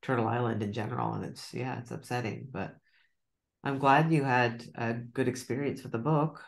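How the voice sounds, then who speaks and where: A woman's voice: rumbling low, moderate pace, creaky. A middle-aged woman joins a book club by video call, talking about her writing habits in a loose, chatty way. She sounds self-deprecating and laughs easily as she goes. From Nova Scotia, Canada. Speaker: novelist guest. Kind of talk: casual book club conversation.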